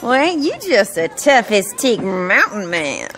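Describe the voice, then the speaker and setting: Female game role: saucy barroom flirt, mid-pitched, very mobile melody. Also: sing-song, measured pace, a bit bright. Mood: flirty, affronted. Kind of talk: video game cutscene acting. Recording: faint saloon music behind.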